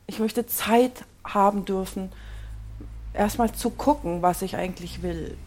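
A woman's voice: medium pitch, unhurried, a little mellow, lightly acted. A woman in her forties playing a fed-up, defensive wife in a naturalistic audio drama. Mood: weary, pleading. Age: 40s. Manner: defensive, exasperated. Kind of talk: naturalistic improvised-sounding audio drama dialogue.

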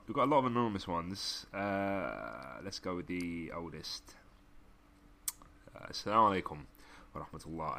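Deep-voiced man, joking podcast co-host. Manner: joking and laughing often, relaxed slangy talk. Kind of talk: casual chat, reading listener messages aloud.

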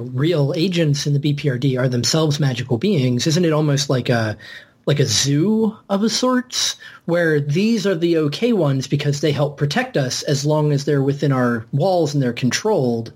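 A man's voice: a little high, expressive, moderate pace, darkish tone, slightly rough. A man in a casual film discussion as a podcast panellist, reflectively working through his ideas aloud.

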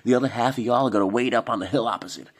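A man voicing a deluded Englishman who talks in a put-on cowboy drawl, loud and bossy. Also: medium pitch, rapid-fire, close to an everyday voice. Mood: blustering.

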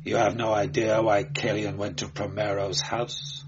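A man's voice: fairly low pitch, little pitch movement, measured pace, noisy background, lightly acted. A man reads as a stern inquisitor in an adventure audiobook. His questions are clipped and brusque, with a weary edge. The recording is slightly dull. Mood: probing. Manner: snapping, brusque.

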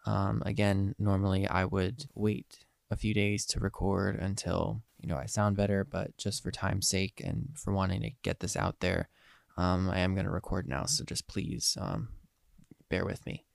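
A nineteen-year-old man with a head cold talks into a close mic in a dry room, his voice slightly nasal. The voice sits lowish and gravelly with fry. He rambles earnestly, full of ums and restarts. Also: little pitch movement, moderate pace, no echo. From Eastern Pennsylvania. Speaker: podcast host. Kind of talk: casual solo podcast monologue.

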